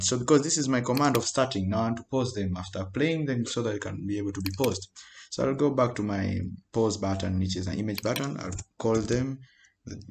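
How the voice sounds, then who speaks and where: A man giving an informal, halting screen-recorded programming tutorial as he types. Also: medium pitch. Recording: noisy home recording with keyboard clicks. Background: keyboard typing and mouse clicks.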